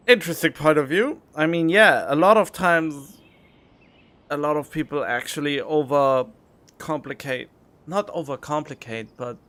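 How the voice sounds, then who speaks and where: A man's voice: a little high, a bit bright. A man giving casual live commentary as a game streamer, searching for his words.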